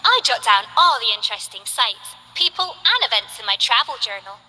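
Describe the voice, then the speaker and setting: A woman's voice: on the high side, big pitch swings, a little fast, sharp tone, exaggerated voice, poor audio. A woman voicing a cheerful, chatty young female delivery courier in a video game. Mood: upbeat. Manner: bubbly, chatty, eager.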